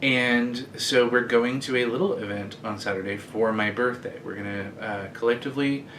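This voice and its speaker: Man, late thirties, mid-pitched, casual self-deprecating vlogger with a faint rumble behind him. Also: moderate pace, a bit bright. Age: 30s. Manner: chatty, rambling and self-deprecating. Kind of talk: casual direct-to-camera chat. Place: indoors, sitting against a bed frame.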